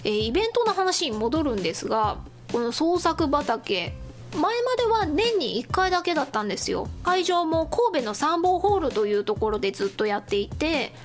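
A woman with a high voice hosts a solo radio-style show. She chats casually to her listeners as she goes through news and announcements. Music plays underneath her talk. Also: very animated, moderate pace.

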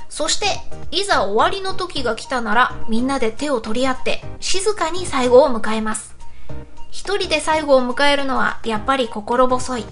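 A woman's voice: high voice, lively intonation, normal speed, fairly bright tone, soft background music. A woman hosting a hobby internet radio show, politely reading out listener messages with frequent backchannels and giggles.

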